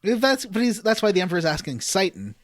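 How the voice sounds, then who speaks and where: A man's voice: high-pitched, very animated, fast talker, a bit bright, no echo. A man co-hosting a podcast, chatting casually about video games and asking questions as he recaps. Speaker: podcast co-host. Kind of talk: casual video game discussion.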